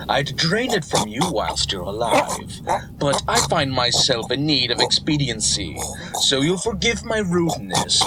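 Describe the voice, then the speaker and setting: A man's voice: mid-range voice, very noisy, exaggerated voice. A man playing a scheming, gloating snake villain lord in a fan audio drama, with a mocking, theatrical and cruel manner.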